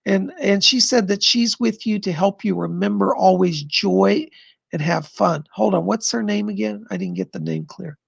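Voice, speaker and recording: A man hosting a live stream of psychic readings, answering viewer questions in an effusive, chatty way and pausing to listen to spirits. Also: sits high, very animated, vocal fry, husky, no room sound, dull audio. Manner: pauses to listen to spirits mid-sentence.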